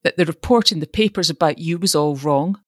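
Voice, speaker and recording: A woman's voice: low voice, brisk, no room sound, studio quality. A woman voicing a mischievous, girlish young sweetheart in a romantic story reading. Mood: evasive, mischievous. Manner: playful, faltering. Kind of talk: dramatised short story reading.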